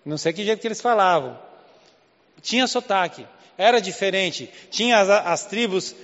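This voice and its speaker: High-voiced Brazilian pastor in echoey church. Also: male voice, expressive, normal speed, a bit bright, lo-fi sound. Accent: Southeast Brazilian Portuguese. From Southeast Brazil. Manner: conversational preaching with rhetorical questions. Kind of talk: sermon. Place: church hall.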